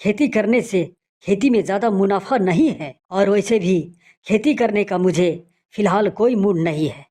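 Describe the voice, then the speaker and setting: A woman's voice: mid-range voice, normal speed, dark tone, lightly acted. A woman plays an educated young village man in a moral story. He speaks respectfully to his elders yet stays reluctant, set on his own choices. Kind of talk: acted moral-story dialogue.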